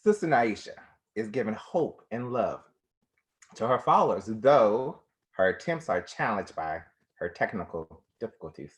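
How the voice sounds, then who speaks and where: Male stage narrator, mid-pitched with lively swings, faint noise and clicks behind. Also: measured pace.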